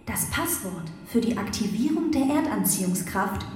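A woman's voice: mid-range voice, big pitch swings, darkish tone, natural voice. Woman acting as echoing, formal female AI. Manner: measured, formal announcements. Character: formal, aloof artificial intelligence ruler and robot guide. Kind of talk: radio play acting. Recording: very echoey reverberant hall, faint noise.

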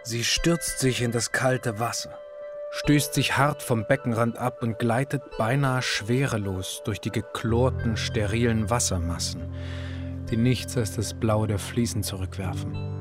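Ein Mann als ernster Hörspiel-Erzähler, Musik im Hintergrund. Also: male voice, on the low side, lightly acted. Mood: intense, measured. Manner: measured, literary, serious. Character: grave male narrator of a psychological radio drama. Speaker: narrator. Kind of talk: literary radio drama narration. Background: music.

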